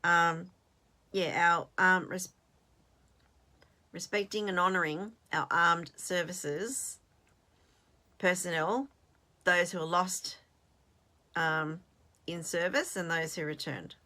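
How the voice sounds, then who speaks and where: Australian woman in her late fifties, host, casual rambling chat, bright forward tone, pitched a little low. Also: fairly even intonation, slow, long pauses. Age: 50s. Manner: chatty, rambling, self-deprecating with fillers and restarts.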